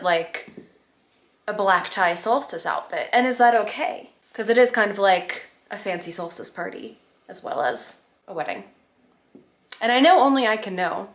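A woman around her thirties chatting casually to camera in a playful, expressive way, stretching words for emphasis. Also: medium pitch. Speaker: vlogger. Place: apartment living room. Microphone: camera at arm's length.

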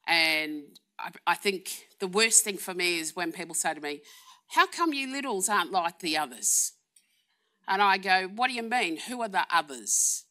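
A middle-aged woman answers questions in a lecture room. Her voice sits a little low and sounds bright. She speaks earnestly and candidly. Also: very animated, normal speed.

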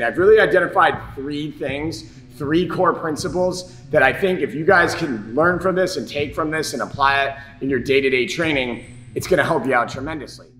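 A man's voice: a little high, a bit bright. A man in his thirties with a slight chest cold, giving emphatic, informal fitness instruction to camera as a coach. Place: roomy gym, indoors. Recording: echoey gym room.

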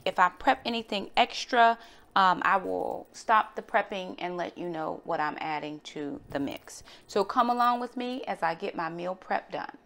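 A woman's voice: mid-range voice, fairly bright tone. Casual woman narrating a home vlog. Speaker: home cooking vlogger.

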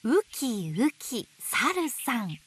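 A woman playing a gentle, motherly owl teacher who reads picture books to toddlers with playful sound words. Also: on the high side, big pitch swings, extremely slow, slightly rough, heavily acted. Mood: playful storytelling. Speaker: picture book storyteller for babies. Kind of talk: picture book read-aloud for toddlers. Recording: faint background noise of nature. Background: nature sounds.

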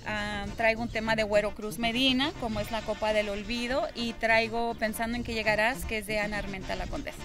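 A woman's voice: a little high, steady tone, brisk, hardly any pauses. A woman singer raised in Zacatecas, chatting cheerfully in an interview at an outdoor fair, with a Mexican Spanish accent. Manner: warm, cheerful, laughing easily. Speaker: singer guest. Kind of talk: casual interview chat. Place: outdoor ranch fair, cold day. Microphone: host's handheld mic. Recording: music playing behind.

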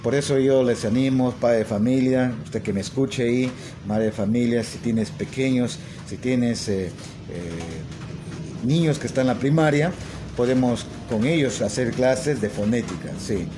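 A man talks casually into a phone held at arm's length in a small room. He teaches languages and hesitates a lot, filling pauses and tagging questions onto his sentences. A steady background hiss runs under the recording. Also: mid-range voice. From Peru. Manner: hesitant, many fillers and tag questions. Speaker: English teacher and language school owner. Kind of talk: casual selfie video talk.

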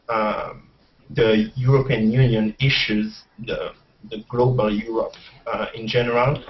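Student far from the mic. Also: male voice, medium pitch, a little hiss or hum. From France. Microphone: headset on video call. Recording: distant dull video call audio.

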